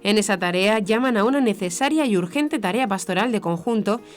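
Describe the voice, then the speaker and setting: A woman's voice: medium pitch, animated, fairly quick. A woman reads scripted news in a formal manner. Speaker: news presenter. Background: background music.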